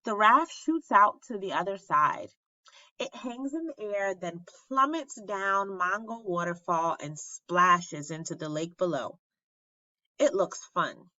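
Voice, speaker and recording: A woman reads a children's story aloud expressively, with dramatic pauses and emphasis on key phrases. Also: mid-range voice, lively intonation, bright, forceful tone. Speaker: storybook reader at an elementary school. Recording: roomy, slightly dull lo-fi recording.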